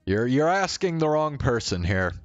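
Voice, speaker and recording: A man reading a visual novel aloud as narrator, in a measured way. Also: fairly high pitch, sing-song, no echo, dull audio. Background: faint music.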